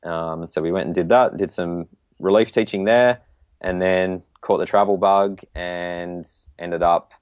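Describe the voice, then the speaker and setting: A man's voice: low voice, a little mellow, no room sound. An Australian man from Tasmania chatting casually as an interview guest, telling his story in a hesitant, reflective way. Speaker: podcast guest, PE teacher.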